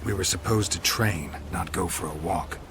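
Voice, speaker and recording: A man's voice: sits low, normal speed, gravelly, noisy background. A man playing a stoic, world-weary monster-hunting swordsman in a video game, speaking in short, dry, clipped sentences. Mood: wry, guarded. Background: loud background music.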